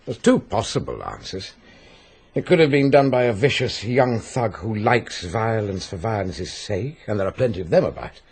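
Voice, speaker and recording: An actor playing a breezy, wry police doctor, brisk and curious, in a radio drama. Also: male voice, lowish voice, very animated, a little mellow, slightly rough, exaggerated voice. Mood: matter-of-fact.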